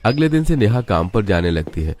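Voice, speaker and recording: A man's voice: lowish voice, big pitch swings, fairly quick, a little mellow. A man narrating a scripted moral story.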